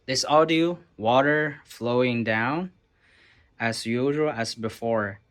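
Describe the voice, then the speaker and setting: A man's voice: mid-range voice, slow pace, fairly bright tone. A man who speaks English as a second language, presenting an informal step-by-step tech tutorial in simple, explanatory phrases. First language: not English.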